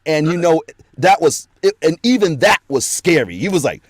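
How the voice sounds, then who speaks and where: A man's voice: on the high side, animated, normal speed, dry recording, studio quality. A middle-aged man, a voice actor, chatting casually as an interview guest, telling nostalgic stories in a rambling way with many restarts.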